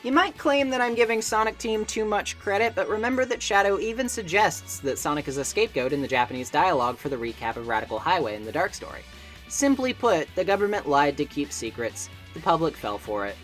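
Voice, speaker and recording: A woman's voice: fairly low pitch, lively intonation, fairly quick, soft background music. A woman delivering scripted video essay narration in a conversational way, with wry asides.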